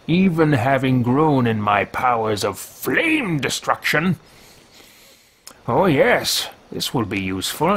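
A man's voice: a little high, fairly bright tone, husky, exaggerated voice. A man voicing a formal male mage adventurer who mutters to himself in stately phrases while roleplaying a game.

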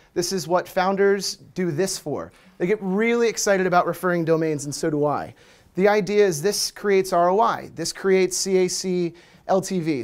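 An American man from Washington, D.C., in his thirties, giving a casual, chatty conference talk with plenty of fillers. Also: high voice. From Washington, D.C., USA. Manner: relaxed, chatty, many fillers. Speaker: conference speaker. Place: meetup room with projector screen.

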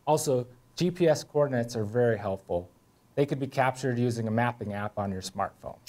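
A man's voice: mid-range voice, fairly quick, mellow. A man in his thirties with an American accent, giving a scripted instructional presentation as a conservation officer. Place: indoor room beside whiteboard. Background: music.

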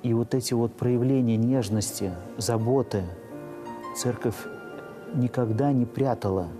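A man in his thirties, a priest explaining in an interview, measured and searching for words. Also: medium pitch, over music. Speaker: priest, parish rector. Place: inside a church.

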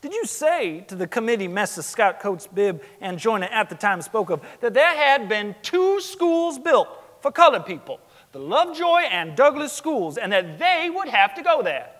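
A man's voice: high-pitched, sing-song, moderate pace, fairly bright tone. A young man playing a sceptical 1890s trial lawyer in a dramatic stage reading, questioning in a pointed, insistent way. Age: young adult. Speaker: student actor in a courtroom reading. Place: large theatre stage, indoors. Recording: echoey theatre hall.

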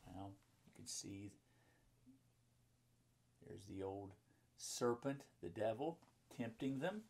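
A man's voice: fairly low pitch, expressive, takes it slowly, gravelly, a little mellow, husky. A man in his fifties, a pastor, reading a children's story and explaining it simply in chatty asides. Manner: simple explaining to young listeners. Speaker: pastor and children's book author. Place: indoor book-lined room at home or office.

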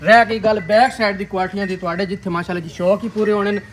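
A man's voice: sits high, steady tone, very fast. A man with a Punjabi accent presenting cows for sale in an outdoor farmyard, with hearty salesman patter aimed at his viewers. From Pakistani Punjab. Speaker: livestock video presenter.

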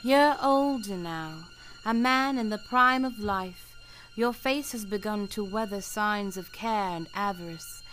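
A woman playing a solemn, unrelenting spirit in a radio play, speaking with deliberate command. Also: medium pitch, fairly bright tone, soft background music, lightly acted, rough recording. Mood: solemn, accusing. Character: solemn, unrelenting female ghost of the past.

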